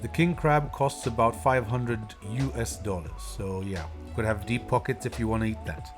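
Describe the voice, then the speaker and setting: A man's voice: mid-range voice, normal speed. Male voice-over over guitar music. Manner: relaxed, informative storytelling. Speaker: travel vlogger. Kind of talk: voice-over narration.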